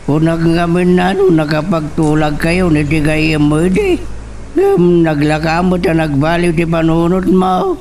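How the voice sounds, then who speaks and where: A man playing an animated father of a rural family in an Ilocano audio drama. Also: a little high, unhurried, close to an everyday voice. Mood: questioning. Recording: some background noise.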